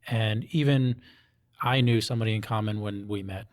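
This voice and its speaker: A man's voice: fairly low pitch, steady tone, moderate pace. A man from Hawaii speaking conversationally as an interview guest, explaining things and often checking in with short tag questions. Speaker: police officer interviewee.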